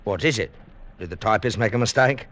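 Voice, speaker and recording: A man's voice: lowish voice, brisk, fairly bright tone, slight background noise, natural voice. A man acting as a courteous, sociable shipboard acquaintance in vintage radio drama, polite and solicitous.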